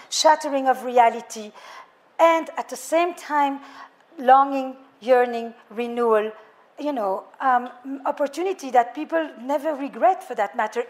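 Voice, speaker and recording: A middle-aged woman with a French accent, a couples therapist speaking to a live audience in a thoughtful way, piling up lists of words. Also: sits high. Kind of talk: public talk to a live audience.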